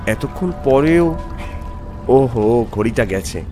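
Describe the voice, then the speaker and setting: A man voicing a young office worker tells a ghost story in a mid-pitched, very lively voice. He is polite and jittery, dramatic and suspenseful, with music playing underneath. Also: slow. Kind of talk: dramatic scripted first-person horror narration with dialogue.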